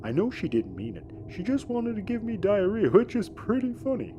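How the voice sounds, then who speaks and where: A man's voice: sits high, huge pitch swings, normal speed, mellow, muffled recording, soft background music. An actor playing a big, dim, childlike and good-natured spaceship crewman, naive and cheerful, in an audio sitcom. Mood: cheerful, dreamy.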